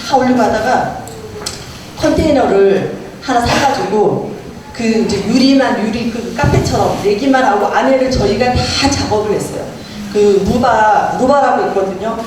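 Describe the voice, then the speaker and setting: A woman's voice: fairly high pitch, normal speed. A middle-aged woman with a Gangwon Korean accent, chatting as a guest speaker about a local club and telling her story with many fillers. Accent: Gangwon Korean dialect. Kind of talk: casual talk to a gathering. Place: community meeting room. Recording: echoey, distant, noisy room.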